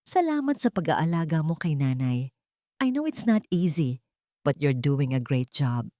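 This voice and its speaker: A woman's voice: sits low, very animated, a little slow, mellow, no room sound. A devoted grown man, acted out by a woman narrator in an audio drama. He is polite and tender, mixes English with Tagalog, and sounds close and dry. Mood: grateful. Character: devoted, grateful adult son and successful husband.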